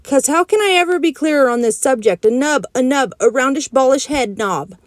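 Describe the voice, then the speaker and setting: A woman's voice: high voice, animated, a little fast, dry recording, pitched above the performer's own voice. A woman voicing a spirited, stubborn little girl who explains things bluntly in childish grammar. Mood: insistent.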